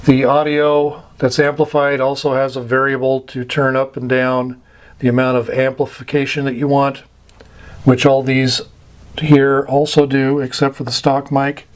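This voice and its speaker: A man giving an informal explanatory lecture as a radio instructor, speaking deliberately in short, halting phrases and repeating words for emphasis. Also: medium pitch, level tone. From United States.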